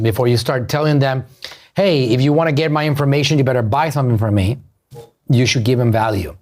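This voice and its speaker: A man's voice: medium pitch, darkish tone. A middle-aged man with a Puerto Rican accent, a marketer hosting a podcast, giving an emphatic motivational business talk that repeats key phrases and asks the listeners questions. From Puerto Rico.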